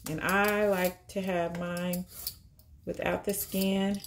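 A woman's voice: on the low side, level tone, slow, a little hiss or hum. Chatty woman in a home kitchen. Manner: chatty, upbeat, thinks aloud with small fillers. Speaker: vegan cooking vlogger. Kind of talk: casual cooking narration.

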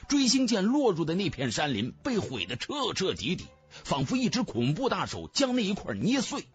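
A man reads fantasy fiction as a dramatic storyteller. His pitch is on the high side and swings very widely, with music playing behind him. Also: huge pitch swings, normal speed. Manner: theatrical, storytelling. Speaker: audiobook narrator. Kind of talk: dramatic scripted narration of a fantasy novel.

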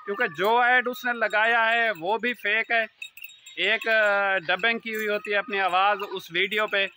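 A man, speaking fairly high and with a very bright, forceful edge. He talks earnestly and repeats himself, addressing the listener as a brother. It was recorded outdoors on a phone at arm's length, with faint noise behind. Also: steady tone, unhurried. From Pakistan. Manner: earnest, repetitive, keeps addressing the viewer as "my brother". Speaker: goat farm owner and channel host. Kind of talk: selfie-video warning talk to viewers. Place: outdoor goat farm pen, among goats and trees. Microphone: phone front camera at arm's length.

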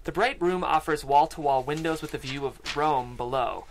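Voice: medium pitch, moderate pace, slight background noise. A narrator reading out screenplay stage directions at a table read in a podcast studio. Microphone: studio microphone and headphones.

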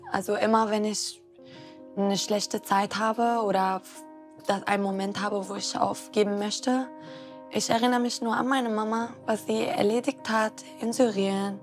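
A woman's voice: medium pitch, fairly even intonation. A woman from Syria, a model contestant, telling her story in a heartfelt confessional interview. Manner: heartfelt, unhurried. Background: music.

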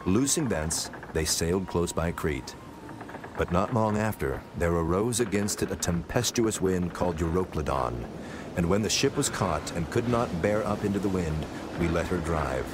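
A man narrating scripture from a script in a measured, solemn manner, as part of a dramatized reading. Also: sits low, animated, noisy background.

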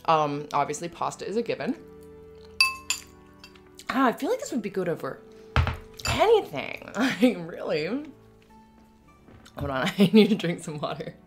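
Low-voiced, giggly, affectionate girlfriend acted by a woman. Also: sing-song, over music. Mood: giggly, enthusiastic. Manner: giggly, halting, rambling. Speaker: roleplay audio performer. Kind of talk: intimate girlfriend roleplay ASMR, conversational.

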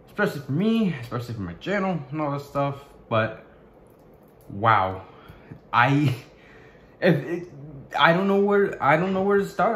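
A young man vlogging to his webcam in a small bedroom, talking in a halting way with many restarts as he searches for words. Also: on the high side, a bit bright, roomy sound. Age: young adult. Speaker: YouTuber. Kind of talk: casual vlog to camera.

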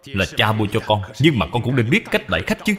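A man's voice: fairly low pitch, very animated, fast talker, fairly bright tone. A man voicing a dignified, courteous middle-aged lord and father in a children's cartoon, speaking formally and with measure. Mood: stern. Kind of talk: dubbed children's moral cartoon. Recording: long hall reverb, music behind.

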